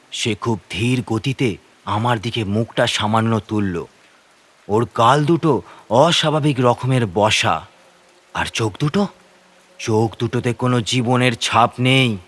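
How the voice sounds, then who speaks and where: A man's voice: medium pitch, a little hiss or hum. A man narrating a scripted horror story in the first person, with a suspenseful, theatrical delivery.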